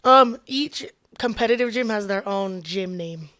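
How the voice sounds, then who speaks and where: A nineteen-year-old woman from Utah chats as a guest on a podcast. Her talk is upbeat and lively, and she slips into playful acted-out quotes as she tells her stories. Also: mid-range voice. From Utah, USA. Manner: chatty, upbeat, quick to laugh, acts out quotes. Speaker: guest musician. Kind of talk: casual interview chat.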